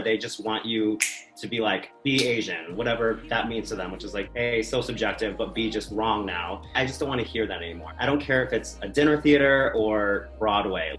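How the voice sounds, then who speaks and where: A man's voice: on the low side, fairly bright tone. A man speaking as a panel guest, recounting his experiences in a casual but emphatic, earnest way. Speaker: talk-show guest, stage actor. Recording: echoey room, music behind.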